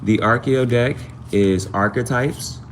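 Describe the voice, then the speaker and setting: A man's voice: mid-range voice, takes it slowly, a little hiss or hum. A man hosting a casual tarot livestream, chatting informally to viewers and rambling, often repeating phrases for emphasis. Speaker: livestream host and amateur tarot reader.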